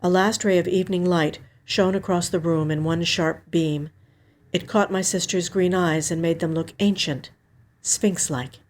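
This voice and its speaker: A woman reading a first-person mystery story as a scripted narration, measured and expressive. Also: fairly low pitch, a little hiss or hum. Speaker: actor reading a short story.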